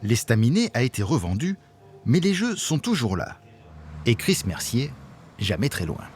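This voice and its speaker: A man giving the scripted narration of a travel documentary, measured and even. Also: mid-range voice, faint music behind.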